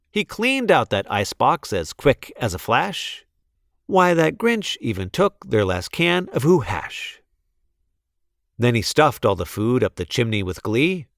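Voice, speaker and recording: A man reading a rhyming children's storybook aloud in a theatrical, deliberate way. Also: medium pitch, fairly bright tone, dry recording, clean studio sound. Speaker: storybook reader. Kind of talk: expressive children's read-aloud of rhyming verse.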